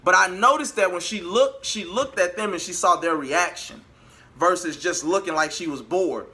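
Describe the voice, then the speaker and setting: A man vlogging in a casual, colloquial storytelling style, animated and acting out bits of dialogue as he goes. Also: high-pitched, moderate pace. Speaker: vlogger and singer.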